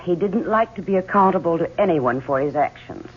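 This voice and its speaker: Actress as sly, poised mistress, expressive intonation, evasive and arch, 1970s radio drama, faintly noisy recording. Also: female voice, lowish voice, brisk, highs cut off, natural voice. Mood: cool, arch. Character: cool, sly woman, murder victim's lover. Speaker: actor.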